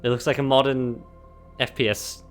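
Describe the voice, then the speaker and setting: A young man co-hosting a casual gaming podcast, giggling and rambling with lots of fillers while his voice is going hoarse. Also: medium pitch, flat delivery, moderate pace, soft background music. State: losing his voice. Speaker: podcast co-host. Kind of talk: casual chat.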